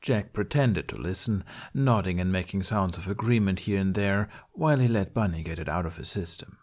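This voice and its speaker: A man reading a fantasy story aloud as an expressive amateur narrator. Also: sits low, fairly quick. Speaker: amateur podfic reader.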